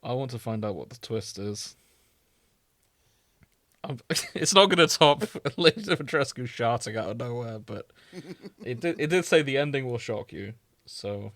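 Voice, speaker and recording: A man's voice: medium pitch, expressive, moderate pace, pauses often, a bit bright, no echo. Wry man chatting, dry close sound. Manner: amused, sweary, wry. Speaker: podcast co-host. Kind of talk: casual chat, reading fan fiction aloud.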